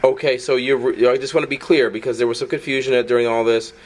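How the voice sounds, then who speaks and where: A man's voice: mid-range voice, level tone, quick pace. A man speaking as an outspoken activist journalist, wordy, insistent and argumentative. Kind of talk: outspoken video commentary and confrontational phone call.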